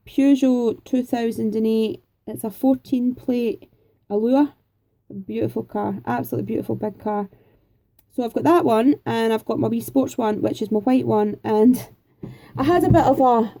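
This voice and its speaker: Chatty Scottish woman, very mellow tone. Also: on the high side. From Scotland. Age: 40s. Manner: chatty, rambling, homely, with frequent fillers. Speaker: craft vlogger answering viewer questions. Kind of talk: casual chat.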